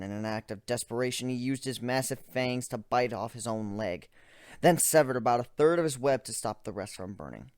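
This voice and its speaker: A man's voice: mid-range voice, no echo. A man giving a dramatic first-person reading of a story as its narrator, close to the microphone.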